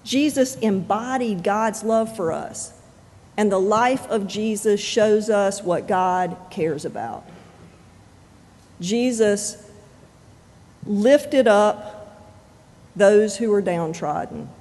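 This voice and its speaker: A pastor preaching a sermon in measured, deliberate phrases with frequent pauses. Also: medium pitch, darkish tone. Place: church sanctuary. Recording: long reverb of a church sanctuary.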